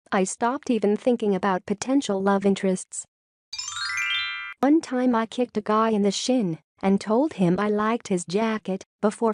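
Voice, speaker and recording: A woman reading forum posts aloud in a steady, even reading voice. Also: medium pitch, no echo.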